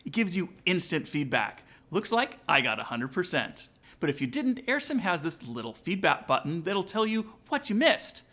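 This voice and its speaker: Male vlog host for how-to videos: slightly high voice, very lively intonation, casual scripted delivery aimed straight at the viewer. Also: normal speed, slight background noise. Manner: casual, direct address to viewers. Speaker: aviation vlog host, student pilot. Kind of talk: upbeat scripted tutorial narration with sponsor read.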